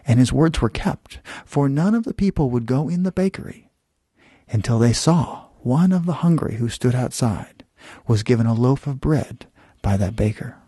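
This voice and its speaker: A man telling a bedtime story as host, with deliberate phrasing and dramatic pauses. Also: medium pitch, expressive, dark tone, slightly rough, no room sound, clean studio sound.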